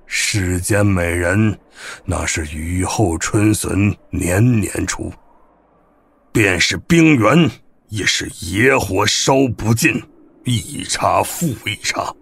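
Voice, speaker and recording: A gruff old martial arts master in a wuxia audio drama. His voice is low and rough, and he speaks very slowly. He scolds and mocks, with care hidden underneath. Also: fairly bright tone, full character voice. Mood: admonishing. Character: gruff old male martial master, mocking, secretly caring.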